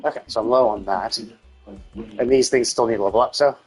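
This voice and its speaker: A male game streamer with a husky, slightly rough voice and an average pitch. He talks casually and meanders as he thinks out loud, with music playing behind him. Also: fairly quick, poor audio. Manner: rambling, thinking aloud. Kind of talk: casual livestream gameplay chat.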